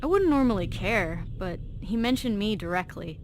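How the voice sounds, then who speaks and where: Noisy recording, woman acting as teenage vault girl, game dialogue, anxious, driven, chatty, earnest, slangy, medium pitch. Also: expressive, moderate pace, lightly acted. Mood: confiding.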